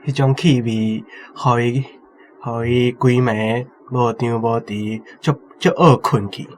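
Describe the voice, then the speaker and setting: A man's voice: mid-range voice, steady tone, takes it slowly, noisy background. A man with a Taiwanese accent casually and tentatively discussing answers as a quiz contestant.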